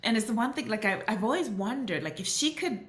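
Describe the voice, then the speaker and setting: A woman's voice: a little high, lively intonation, fast. Earnest woman with an echoey sound. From Southern Africa. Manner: earnest, with frequent restarts and "you know". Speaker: guest. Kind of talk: reflective interview storytelling. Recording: roomy echo.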